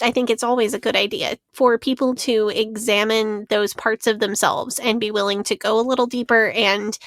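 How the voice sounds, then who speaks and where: A woman's voice: fairly high pitch, no echo, clean studio sound. A woman co-hosting a podcast, talking through her research in an earnest, analytical way and laughing now and then. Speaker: podcast co-host. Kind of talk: casual podcast discussion.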